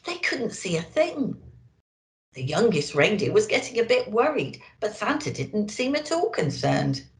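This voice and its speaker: A woman reads like a children's storyteller, theatrical and sing-song. Her pace is slow and her pitch swoops widely, in an echoey room. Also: sing-song. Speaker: children's storyteller presenting as an elf.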